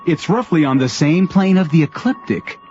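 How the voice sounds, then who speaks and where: An actor playing an earnest, deferential technical assistant aboard a space station in a radio drama. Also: male voice, on the high side, lively intonation, moderate pace, darkish tone, close to an everyday voice. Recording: dull vintage radio recording with music.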